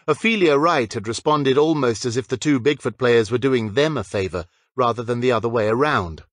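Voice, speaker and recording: A man's voice: medium pitch, a little fast, no echo. A man reading a scripted story as an audiobook narrator.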